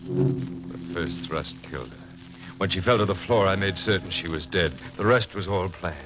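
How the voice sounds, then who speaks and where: An actor playing a tormented, murderous husband in a dramatic, deliberate 1940s radio style, stammering when frightened. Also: male voice, low-pitched, close to an everyday voice, low-quality recording. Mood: grim, confessional. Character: tormented murderous husband, coldly determined then panicking. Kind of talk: 1940s radio acting, first-person narration and dialogue. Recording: old radio recording with music behind.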